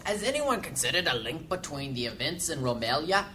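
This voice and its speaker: A woman playing a brisk, no-nonsense council mage in a fantasy audio drama, with crisp, formal speech. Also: low-pitched, big pitch swings, pushed and bright, slight background noise, full character voice. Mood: impatient, pragmatic.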